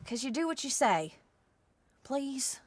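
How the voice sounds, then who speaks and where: High-voiced, tender frontier mother. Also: big pitch swings, slow pace, husky, no room sound, exaggerated voice. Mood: coaxing, concerned. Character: caring, coaxing young mother in outlaw camp. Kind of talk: video game cutscene acting.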